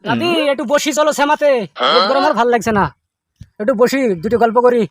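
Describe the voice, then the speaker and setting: A man's voice: very high voice, fast, dry recording, clean studio sound. A man playing an inquisitive, coaxing grandson with a rural Bengali accent, asking questions and cajoling in an amateur village comedy skit. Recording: loud music over the dialogue.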